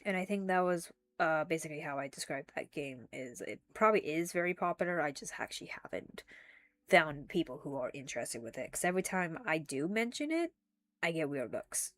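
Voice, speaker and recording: A woman's voice: sits low, lively intonation, brisk, a bit bright. A young woman streaming live, talking casually and rambling with frequent restarts and giggles, a little congested.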